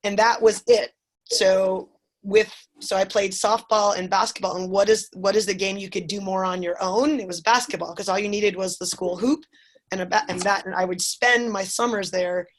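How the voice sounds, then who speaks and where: A woman's voice: medium pitch, fairly even intonation, moderate pace. A woman in her forties who grew up in Chicago talks over a group video call. She thinks aloud, circles back and restarts her sentences as she works out an idea. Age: 40s.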